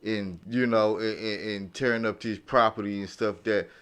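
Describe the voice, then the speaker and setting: A man talking in a casual round-table podcast discussion, halting and repeating himself as he searches for words. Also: fairly low pitch, steady tone, fairly bright tone, clear, resonant tone. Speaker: podcast panelist.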